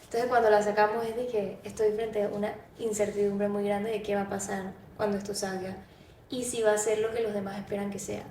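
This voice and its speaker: A woman speaks as a podcast co-host in a thoughtful, explanatory manner. Her intonation stays flat and even. The sound is roomy and a little far from the microphone. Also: mid-range voice, a little fast. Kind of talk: reflective conversational discussion. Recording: roomy, distant mic, faint noise.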